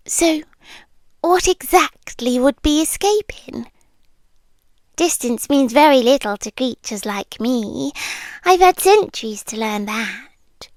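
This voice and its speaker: Slow, whispery British monster girlfriend, acted by a woman. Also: high-pitched, no room sound, full character voice. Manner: soft, slow, often slipping into breathy whispers. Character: centuries-old female tailed monster girlfriend, possessively devoted, tender. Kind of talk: intimate yandere ASMR roleplay.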